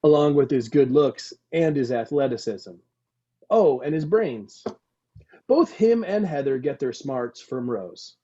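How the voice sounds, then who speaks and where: A man's voice: medium pitch, lively intonation, unhurried, warm tone, breathy edge. A man playing a sweet, dim, lovesick young slacker with slangy, earnest, boastful talk in a comedy table read. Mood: cheerfully boastful. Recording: dull, lo-fi video call sound.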